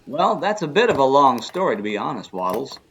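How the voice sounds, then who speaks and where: Genial male professor-adventurer acted by a man, children's radio drama; expressive, explanatory delivery over faint noise. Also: medium pitch, a little fast. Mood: genial storytelling. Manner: good-natured, storytelling, explanatory. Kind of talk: kids' radio drama acting.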